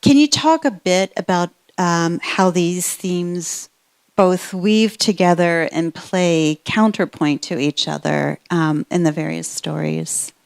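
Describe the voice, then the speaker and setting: Woman, interviewer, low voice, slow deliberate questions, book event. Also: vocal fry. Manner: slow, careful questions with pauses. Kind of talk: moderating a conversation. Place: event room before an audience.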